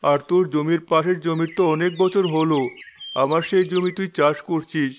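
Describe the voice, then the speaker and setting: A man voicing a humble, trusting blind village father in an animated moral story, speaking earnestly. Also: a little high, moderate pace, a little hiss or hum, close to an everyday voice. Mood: earnest pleading.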